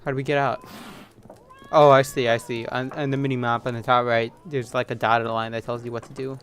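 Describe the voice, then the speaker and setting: A man's voice: mid-range voice, level tone, fairly quick, a bit bright, a little hiss or hum. A man giving casual commentary as he plays a video game, at times reading on-screen text aloud. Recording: game music behind the voice.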